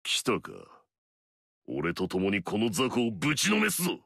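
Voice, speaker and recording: A man's voice: fairly low pitch, huge pitch swings, normal speed, fairly bright tone, slightly rough, dry recording, studio quality, heavily acted. An actor playing an arrogant, fierce male warlord in a video game, swaggering and barking battle cries.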